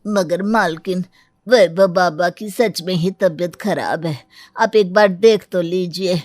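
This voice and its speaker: A woman voicing a kind, loyal old male servant in a cartoon story, speaking in a pleading, gentle way. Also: lowish voice, slow pace, no room sound, soft background music.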